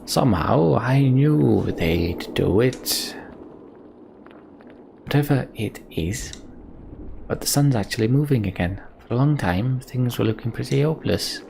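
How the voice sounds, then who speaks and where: A man hosting a let's play, commenting and reading game text aloud in an unhurried, reflective way. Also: mid-range voice, lively intonation, vocal fry, darkish tone. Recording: slight background noise.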